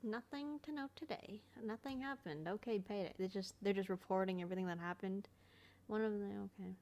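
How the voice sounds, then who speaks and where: A woman's voice: mid-range voice, brisk, a little mellow. A woman giving casual, slangy gameplay reaction commentary, thinking aloud as she plays. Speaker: gaming video creator.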